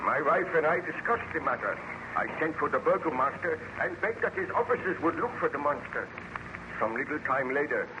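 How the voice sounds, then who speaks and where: A muffled, hissy vintage radio recording carries a male actor as a haunted, weary baron. His voice sits fairly high for a man, and he speaks in formal, measured, theatrical sentences. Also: close to an everyday voice. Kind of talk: 1930s radio drama acting.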